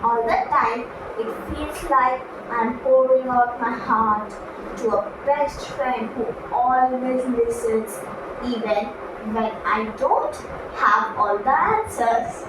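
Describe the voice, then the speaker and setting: A woman voicing an earnest, devout farmer as a puppet guest in a scripted show, with halting, deliberate phrasing. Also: fairly high pitch. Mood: reverent, earnest. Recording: very echoey room, distant mic, noisy.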